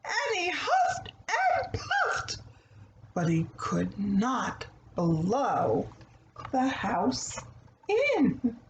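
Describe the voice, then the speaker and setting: A woman reading a children's story aloud in a deliberate, expressive manner, pausing between short phrases. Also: fairly high pitch. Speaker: storybook reader. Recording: roomy echo, light background noise.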